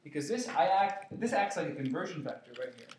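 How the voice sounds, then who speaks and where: Male chem teacher, slightly high voice, playful, very echoey classroom, noisy. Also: sing-song, fast talker, distant mic. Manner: playful, joking with students. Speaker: chemistry teacher. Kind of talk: classroom review lesson.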